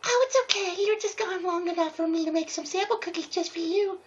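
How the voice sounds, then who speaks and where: A man's voice: very high voice, brisk, highs cut off, voice pushed up. A man voicing bubbly young female cartoon ponies while reading fan fiction aloud. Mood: cheerful.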